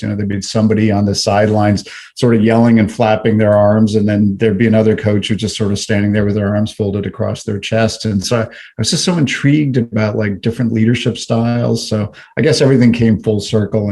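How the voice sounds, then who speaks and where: Low gravelly-voiced Canadian-born man. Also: mellow. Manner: reflective, many fillers and restarts. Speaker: guest. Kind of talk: conversational interview.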